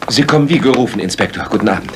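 An actor playing an anxious, loyal gentleman doctor in a radio play, with an earnest, conspiratorial manner. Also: fairly low pitch, expressive, rapid-fire, noisy background, natural voice. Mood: grave, conspiratorial. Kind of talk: German radio play acting.

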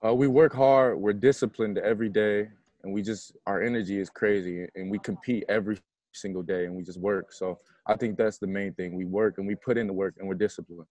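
A young man with a fairly low voice speaks as a college athlete after a game. He answers in an informal, plain-spoken way, talking into an earphone mic on a video call. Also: fairly even intonation, brisk, darkish tone, dry recording. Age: young adult. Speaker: college basketball player. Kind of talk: post-game press conference answers. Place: indoor basketball arena, courtside. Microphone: earphone headset on a video call.

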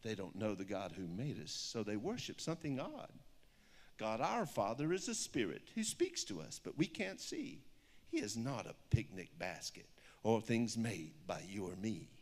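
A man's voice: fairly low pitch, big pitch swings, moderate pace. A man voicing a wise, earnest owl preacher who instructs in rhyming storybook verse, in an echoey hall. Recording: echoey hall with long reverb.

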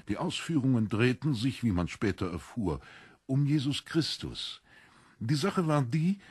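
Ein Mann liest als Hörbucherzähler einen literarischen Text, mit rauer Stimme und bedachter, dramatischer Phrasierung. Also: male voice, deep voice, a little mellow, husky, no room sound, studio quality. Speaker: audiobook narrator. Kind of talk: scripted literary narration.

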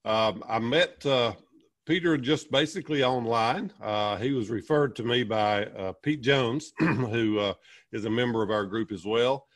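A man in his forties hosting a podcast interview over a video call, upbeat in his intro and relaxed when asking questions. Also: mid-range voice, unhurried. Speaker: podcast host. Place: small indoor room.

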